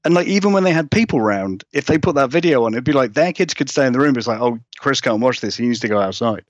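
A man's voice: medium pitch, animated, fast, no echo, highs cut off. A man chatting informally as an interview guest, in a rambling, self-deprecating way. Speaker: horror writer, interview guest. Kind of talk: casual interview chat.

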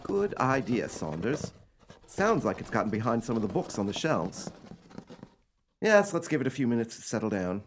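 A man playing an imperious, coolly cruel, excitable gentleman heir in an old-fashioned radio play, speaking in a theatrical, commanding, formal way. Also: mid-range voice, normal speed, noisy background. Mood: brisk, irritated.

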